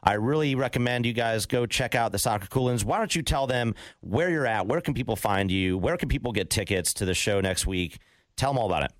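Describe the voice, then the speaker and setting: A man's voice: mid-range voice, fairly quick, dry recording. A man hosting a podcast, upbeat and chatty as he plugs his guests. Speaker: podcast host. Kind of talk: casual podcast chat.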